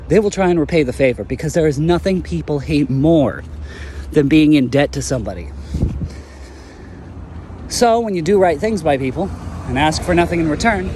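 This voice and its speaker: Brash, good-hearted hero, played by a man, higher-pitched and very animated, slangy and emphatic, audio drama, some background noise. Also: lightly acted. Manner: rambling, emphatic, casual slangy talk. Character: brash, stubborn, kind-hearted heroic man, earnest and cocky.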